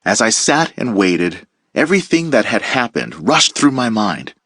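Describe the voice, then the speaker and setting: A man teacher reading a children's picture book aloud with playful, expressive storytelling. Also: fairly low pitch, normal speed, breathy edge, no echo.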